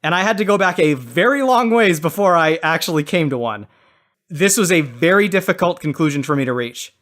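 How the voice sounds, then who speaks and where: A man's voice: a little high, lively intonation, brisk, fairly bright tone. A man giving casual, long-winded explanations as the judge on a comedy debate podcast.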